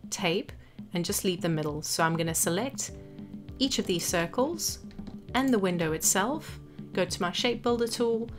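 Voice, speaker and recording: A woman narrating a step-by-step software tutorial as an instructor, explaining each action as she goes. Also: on the low side. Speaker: graphic design tutorial instructor. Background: background music.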